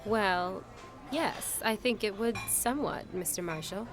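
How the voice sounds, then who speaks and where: A woman's voice: mid-range voice, measured pace, over music, natural voice. A woman playing a young, unflappable hat check girl in a radio drama, polite, dry and firm. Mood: gracious, teasing.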